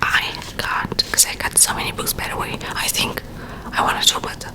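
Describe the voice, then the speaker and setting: Softly whispering woman, close to the mic. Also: moderate pace. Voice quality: soft unvoiced whisper. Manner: chatty, rambling asides between reading. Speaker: ASMR creator. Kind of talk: whispered ASMR reading. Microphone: close to a sensitive mic.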